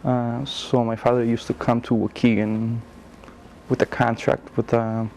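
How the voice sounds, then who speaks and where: A man recounts his past in an oral history interview. His delivery is measured and level, with little rise and fall. Faint ambient noise sits behind him. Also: medium pitch, level tone, vocal fry, a little mellow. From Mexico. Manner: measured, with pauses and fillers.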